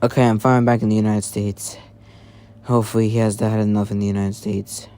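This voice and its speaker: An amateur creator reading a scripted emergency alert in a halting, improvised announcer manner. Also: medium pitch, little pitch movement, mellow. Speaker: hobby scenario creator and narrator.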